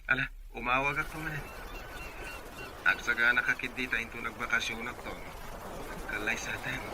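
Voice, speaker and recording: A man's voice: medium pitch, steady tone, normal speed, lightly acted. A man playing an affectionate, dutiful young sweetheart and son in an Ilocano audio drama. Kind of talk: Ilocano radio-style drama acting. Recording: clearly noisy background.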